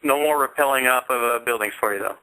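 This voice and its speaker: An American man hosts a radio interview in a very bright, forward voice. He talks quickly and agreeably, letting his questions ramble on conversationally. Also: medium pitch, poor audio. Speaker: radio co-host, military veteran.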